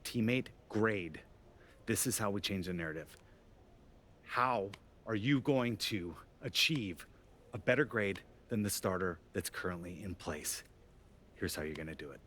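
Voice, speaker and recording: An actor playing an eccentric, intense analytics whiz who speaks solemnly and grandly, drawing out phrases for effect in a game cutscene. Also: male voice, deep voice, expressive, fairly bright tone, a little hiss or hum. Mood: self-important. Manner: solemn, dramatic drawn-out phrasing. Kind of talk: comic video game cutscene acting.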